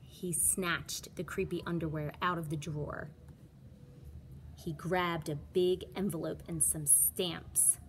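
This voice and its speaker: Female storybook reader, lower-pitched, unhurried and expressive, teacher addressing children, slight noise behind. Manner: dramatic, emphatic storytelling for children. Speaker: teacher reading aloud.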